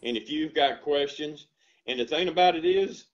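Older Southern farmer, folksy storyteller, headset call audio, slightly dull and lo-fi. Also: male voice, fairly high pitch, normal speed. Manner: animated storytelling, earnest and folksy. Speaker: dairy farmer and ice cream shop owner. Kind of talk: casual interview over video call. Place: home room with bookshelves. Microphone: headset on video call.

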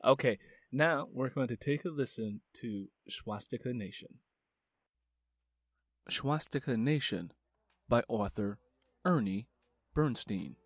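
A man hosting a book interview podcast, reading a historical passage aloud in a measured, steady storytelling manner. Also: mid-range voice, dry recording, studio quality.

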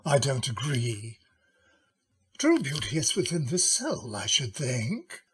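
Husky, slow-spoken courtly gentleman lawyer. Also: medium pitch, wildly swooping pitch, heavily acted. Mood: thoughtful. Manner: courteous, hesitant, deliberate. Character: courtly, mild middle-aged gentleman lawyer, cautious. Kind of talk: old-time radio drama acting.

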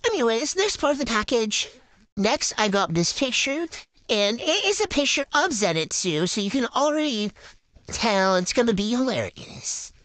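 A teenage girl gushes over her haul in a bright, highish voice that swings up and down. Her speech passes through a voice changer effect, and the sound is close and dry from a handheld phone in her bedroom. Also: moderate pace, no room sound. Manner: gushing, excitable, chatty with fillers. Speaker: vlogger showing a haul. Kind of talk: casual unboxing chat.

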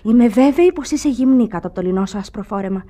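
An actress playing a melancholy, worldly young woman in measured, wistful Greek radio theatre style. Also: on the high side, animated, warm tone.

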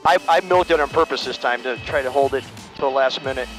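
A middle-aged man piloting a small plane, calmly talking through each step of his flight maneuvers over a headset mic. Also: medium pitch, fast, soft background music. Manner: calm, steady step-by-step callouts. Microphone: aviation headset mic.

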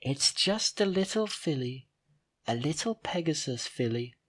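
A man voicing a gentle, motherly young female pegasus pony who speaks softly and dreamily. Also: on the high side, lively intonation, a little slow. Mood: dreamy. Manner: soft, dreamy, soothing. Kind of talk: storybook reading.

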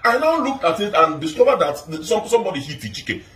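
A man's voice: high voice, very animated, fast, fairly bright tone. A middle-aged man with a Nigerian accent and a big voice, pressing his point in a heated argument at home, repeating his questions. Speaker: husband in a couple video. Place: indoors at home. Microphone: phone camera in a split-screen video. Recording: echoey, from a distance.

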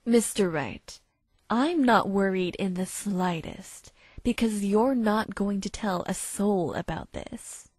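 Woman as a cold, husky pony blackmailer. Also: medium pitch, darkish tone, no echo. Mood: coolly threatening. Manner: smug, measured, threatening. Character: cold, calculating female unicorn pony blackmailer. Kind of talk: visual novel fan dub acting. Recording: faint music behind.

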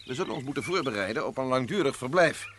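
A man's voice: mid-range voice, animated, fast talker, background noise, natural voice. An actor playing a skeptical male companion in an old radio play. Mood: serious. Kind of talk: vintage radio play acting.

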